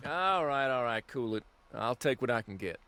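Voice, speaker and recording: A man's voice: mid-range voice, animated, moderate pace, a bit bright, clear, resonant tone, dry recording. An actor playing a folksy, blunt and exasperated lawman in a video game. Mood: guarded, resigned.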